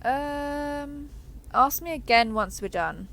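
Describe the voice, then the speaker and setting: A woman's voice: high-pitched, slow pace, creaky, fairly bright tone, exaggerated voice. A woman playing a friendly, chatty giant transforming robot soldier in an audio roleplay, joking and reassuring as she talks to the listener. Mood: earnest, chatty.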